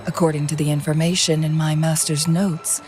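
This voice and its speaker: An actress voicing a composed, astute young woman in a game, calm, enigmatic and measured. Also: low voice, soft background music, close to an everyday voice. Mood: composed, knowing. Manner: measured, poised, deliberate. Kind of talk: dramatic game voice acting.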